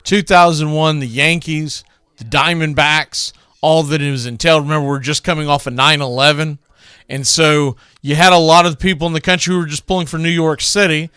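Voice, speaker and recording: Male sports radio host, slightly high clean voice, conversational and opinionated commentary on old calls. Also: fairly even intonation, fairly quick, bright, forceful tone, smooth, no room sound, studio quality. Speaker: host of a baseball radio show. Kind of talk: commentary introducing classic baseball calls.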